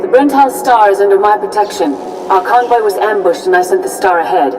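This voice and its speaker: An actress playing a serene, firm Jedi grandmaster in a video game, calm, formal and authoritative. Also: female voice, mid-range voice, fairly quick, distant mic, over music, close to an everyday voice, poor audio. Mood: calm resolve.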